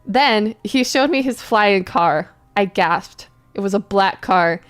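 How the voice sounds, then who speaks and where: Slow-paced woman reading for laughs. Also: medium pitch, lively intonation. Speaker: co-reader on a live stream. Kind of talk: comedic dramatic reading with banter.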